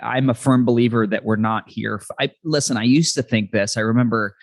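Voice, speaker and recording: A man's voice: mid-range voice, fairly even intonation, fairly quick, dry recording, studio quality. Earnest reflective man hosting a podcast. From New York City. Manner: earnest, reflective, talks in long run-on thoughts. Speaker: podcast host. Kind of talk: casual interview chat.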